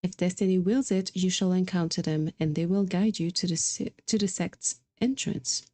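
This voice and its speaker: A woman's voice: lowish voice, fairly even intonation, normal speed, mellow. A woman livestreaming a video game, chatting casually and reading dialogue aloud in a musing, hesitant manner. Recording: music behind the voice. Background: game music.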